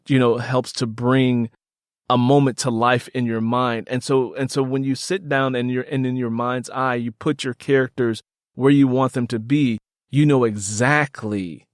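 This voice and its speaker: A male host and storyteller with a medium-pitched voice. He is recorded close, with very little room sound. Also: dry recording. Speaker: podcast host and author. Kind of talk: conversational interview and scripted audio drama narration.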